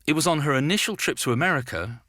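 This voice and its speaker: Lively-pitched male documentary narrator, dry sound. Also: quick pace, no echo. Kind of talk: scripted narration.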